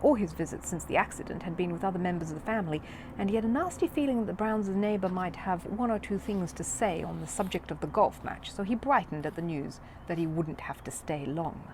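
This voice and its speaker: A woman reading a children's story aloud in an expressive narrating style. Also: on the low side, brisk, noisy background. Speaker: audiobook reader of a children's book.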